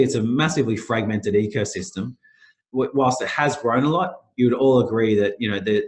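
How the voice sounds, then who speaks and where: A man's voice: mid-range voice, rough recording. A middle-aged man with a nasal voice from a sore throat, presenting a business webinar in loose, rambling speech full of restarts. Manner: loose, repetitive phrasing with many restarts and fillers. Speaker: company managing director presenting a webinar.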